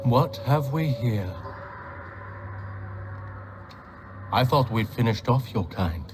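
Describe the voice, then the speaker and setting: Casting: actor as haughty, contemptuous male conspirator, ornate delivery with very lively intonation, game cutscene, music behind. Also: mid-range voice, a little slow, close to an everyday voice. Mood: smug. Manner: pompous, ornate, disdainful. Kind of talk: dramatic game cutscene acting.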